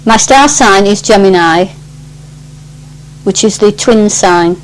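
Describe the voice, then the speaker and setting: A woman around her sixties from northern England, with an English accent, giving a clear, composed introduction of herself. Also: medium pitch, slow, rough recording. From Cumbria, England. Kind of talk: prepared self-introduction.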